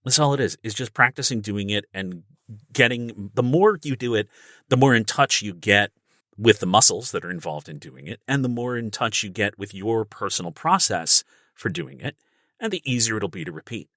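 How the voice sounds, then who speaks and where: Man, voice actor guest, low pitch, bright forceful tone, emphatic, casual instructional chat. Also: lively intonation, normal speed, dry recording, studio quality. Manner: emphatic, explains through everyday analogies. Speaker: guest voice actor and audiobook narrator. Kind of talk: casual instructional podcast conversation.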